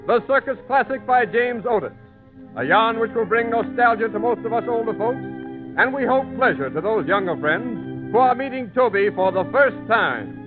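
A man's voice: very high voice, animated, moderate pace. A man announcing and narrating in a scripted old-time radio style. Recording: tinny old radio, music behind.

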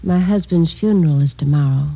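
A woman's voice: low voice, a little fast, gravelly. A woman playing a polite, hesitant, well-to-do widow in a 1950s radio drama. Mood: subdued, courteous. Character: subdued, courteous wealthy widow. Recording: muffled, faintly noisy old radio recording.

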